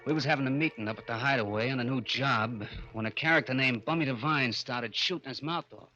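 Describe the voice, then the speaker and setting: Casting: male actor as brooding American trigger man for vintage radio crime serial, slangy and tough, bright forceful delivery, light music underneath. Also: medium pitch, fast talker, muffled recording, natural voice. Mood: brooding, cocky. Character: hard-boiled male gangster trigger man telling his story. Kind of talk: old-time radio crime drama, first-person narration. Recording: old radio broadcast with music behind.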